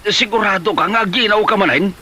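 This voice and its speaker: A man's voice: sits high, fast talker, background noise, natural voice. A man playing a weary, exasperated husband in an Ilocano comedy drama, whining and sputtering with an Ilocano Filipino accent. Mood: baffled, protesting. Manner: whining, sputtering repeated exclamations. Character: exasperated, weary husband who pleads with his wife. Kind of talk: Ilocano comedy radio-style drama acting.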